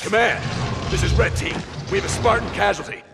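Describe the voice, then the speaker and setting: An actor playing a steadfast armored squad leader in a video game battle, speaking in clipped military phrases. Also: male voice, sits high, a little fast, vocal fry, over loud music. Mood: alarmed. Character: steadfast male armored super-soldier squad leader under fire. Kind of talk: video game cinematic acting.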